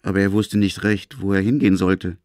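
A man's voice: deep voice, flat delivery, a little fast, darkish tone. A man narrating a literary radio drama as its storyteller.

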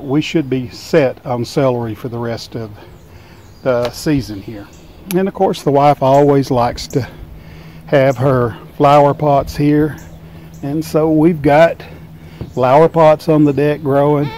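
A man with a mid-pitched, everyday voice talks his viewers through his backyard plants in an unhurried, folksy way. He is outdoors on a deck, holding the camera himself, so the sound is that of a handheld camera microphone in open air. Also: dark tone, a little hiss or hum. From Tennessee, United States. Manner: folksy, unhurried, explaining as he films. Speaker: gardening vlogger. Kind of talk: casual garden tour narration. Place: outdoors on a home deck and garden.

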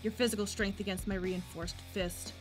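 A woman playing a cold, vengeful young avenger in a dramatic manga dub, speaking with deliberate, menacing intensity. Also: medium pitch, steady tone, faint music behind, lightly acted. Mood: cold menace.

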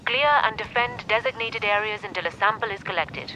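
A woman's voice: medium pitch, a little fast, loud music behind the voice, natural voice, rough recording. An actress playing a businesslike female mining director in a video game, giving composed, authoritative briefings. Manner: crisp, composed, instructing.

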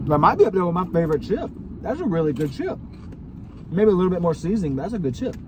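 Young male vlog host, slightly high voice, animated, recorded in a car by a dashboard camera with background noise. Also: fast. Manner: chatty, animated food reactions. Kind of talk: casual snack taste test. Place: inside a parked car. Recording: car interior with ambient noise.

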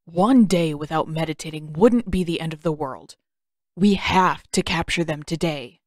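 A woman's voice: low-pitched, moderate pace, darkish tone, no room sound, clean studio sound, lightly acted. A woman voicing an impatient, hot-tempered teenage prince, curt and demanding, in an audiobook. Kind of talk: audiobook character voice.

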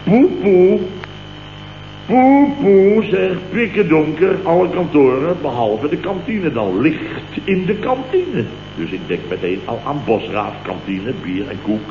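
Blustering dutch company boss in an old radio comedy, clipped indignant storytelling, muffled and roomy. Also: male voice, on the high side, big pitch swings, measured pace, a little hiss or hum. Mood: dramatic. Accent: Dutch, from the Netherlands. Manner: clipped telegraphic sentences, mock-dramatic. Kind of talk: 1960s-70s radio comedy acting.

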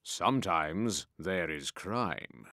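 A man's voice: low voice, sing-song, drawn-out words, gravelly, bright, forceful tone, no echo, studio quality. A man narrating a rhyming story in a dramatic, scripted storyteller's delivery.

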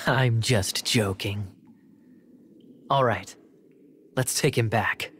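An actor playing a composed, sly male knight captain in a video game, teasing with smooth, ironic politeness. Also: mid-range voice, extremely slow, a bit bright, slight background noise. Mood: amused. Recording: music behind the voice.

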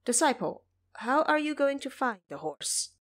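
A woman's voice: high voice, big pitch swings, normal speed, voice pushed up. Woman playing a timid, fretful monk master. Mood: puzzled, gentle. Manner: plaintive, worried, formal.

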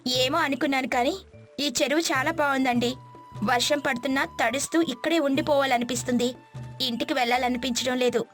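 A woman voicing an eager, delighted young city-bred wife in an animated moral story, with music behind her. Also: sits high, brisk. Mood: delighted, curious.

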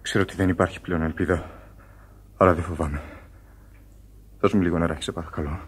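An actor playing a dying sailor in a radio play, weak and pleading. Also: male voice, low-pitched, steady tone, husky. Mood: weary. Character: dying sailor, fearful then resigned. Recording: faint hiss of old radio recording.

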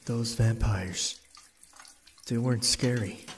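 A young man playing a troubled, brooding college student, hesitant and introspective, in an animated roleplay drama. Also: medium pitch, slow pace, slight background noise, lightly acted.

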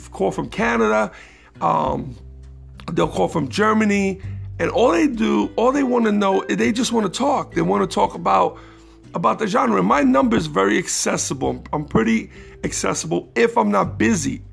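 A man hosting his own podcast in a casual, rambling monologue, his voice raspy, with many restarts that turn emphatic. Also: sits high, moderate pace, faint music behind. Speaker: podcast host.

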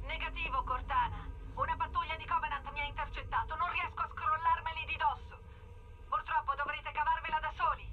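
A woman's voice: high-pitched, fairly even intonation, fairly quick. A woman acting as a businesslike military dropship pilot in a dubbed video game, clipped in delivery and heard through a radio filter. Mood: tense, regretful. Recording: dull radio link, faint noise.

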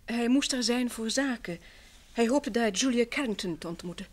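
High-voiced, distraught, insistent wife. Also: female voice, expressive, normal speed. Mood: earnest, explaining. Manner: earnest, emphatic, hesitant and repeating herself when upset. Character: distraught, insistent wife convinced her missing husband lives. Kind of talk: vintage Dutch radio play acting.

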